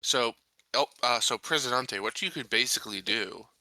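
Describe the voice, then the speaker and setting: A man's voice: mid-range voice, moderate pace, bright, forceful tone, dry recording, low-quality recording. A man playing a tabletop game, suggesting strategy to the other players in casual chat.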